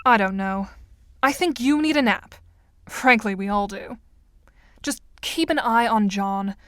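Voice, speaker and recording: A woman playing a composed, articulate and skeptical teenage girl in an audio drama, dry and measured. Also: medium pitch, fairly bright tone, dry recording, faint music behind, close to an everyday voice. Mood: dry concern.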